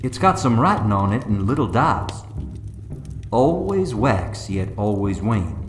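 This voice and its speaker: An actor playing a cautious, clever preacher on an adventure in a radio drama, speaking with careful, dryly exasperated patience. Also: male voice, fairly low pitch, big pitch swings, unhurried. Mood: dry, patient. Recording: roomy echo, music underneath. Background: music.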